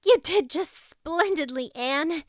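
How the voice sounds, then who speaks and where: A woman voicing an excitable, gushing teenage girl, a best friend in a storybook reading. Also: high voice, slow pace, no echo, studio quality, pitched above the performer's own voice. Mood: excited, gushing. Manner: gushing, exclamatory.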